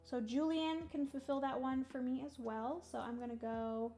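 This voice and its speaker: A young woman on a livestream, talking through her moves in a solo board game and chatting with viewers, her voice going a little hoarse. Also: high voice, steady tone, moderate pace, warm tone. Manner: chatty, thinks aloud, greets chat viewers. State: losing her voice. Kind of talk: casual livestream play-by-play.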